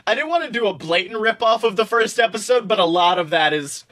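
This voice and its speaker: An animated man with a notably high-pitched voice, hosting a podcast. He chats casually and enthusiastically, swearing freely as he goes. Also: fast. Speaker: podcast host. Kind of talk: casual chat and fan fiction reading.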